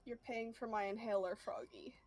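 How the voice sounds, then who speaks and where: A woman's voice: fairly high pitch, fairly even intonation, moderate pace, a little mellow. A woman chatting casually as a player in a tabletop role-playing game. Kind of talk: casual gaming chat.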